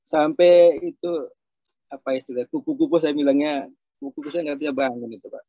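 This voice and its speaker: A man's voice: a little high, steady tone, a little fast, warm tone, no echo. A man from Surabaya giving a candid, chatty testimonial over a video call, with a self-deprecating manner. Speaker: program participant giving a testimonial. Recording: dry, dull lo-fi call.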